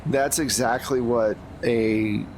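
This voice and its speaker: A man's voice: mid-range voice, steady tone, slow pace. A man running a tabletop game as game master, narrating casually and loosely. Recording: noisy background hiss.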